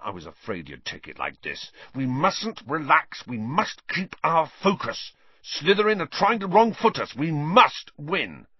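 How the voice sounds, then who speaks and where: A man's voice: a bass voice, a little fast, hardly any pauses, slightly rough, no echo, highs cut off, voice pushed down. A man reading a storybook, voicing an intense, anxious, bossy teenage team captain in an urgent, emphatic manner. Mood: urgent, shouting.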